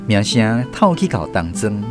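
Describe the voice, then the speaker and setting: A man's voice: fairly low pitch, very animated, measured pace, over music. A man with a Taiwanese accent hosting and narrating a scripted radio drama.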